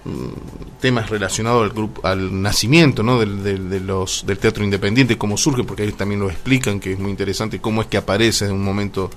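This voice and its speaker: An Argentine man hosting a casual radio interview, rambling and halting, often repeating words. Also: on the low side, steady tone, slight background noise. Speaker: radio host interviewing guests. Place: radio show with guests.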